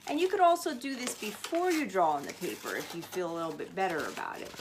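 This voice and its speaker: A woman's voice: on the low side, big pitch swings, quick pace, very noisy. A woman speaking like a teacher at story time, explaining and narrating in an instructive, step-by-step way. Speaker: teacher reading a storybook and showing a craft. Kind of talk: read-aloud storytelling and casual how-to demonstration.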